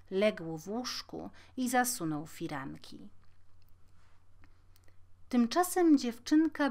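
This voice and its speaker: A woman's voice: medium pitch, a little mellow. A woman reading a scripted fairy tale aloud as a storyteller.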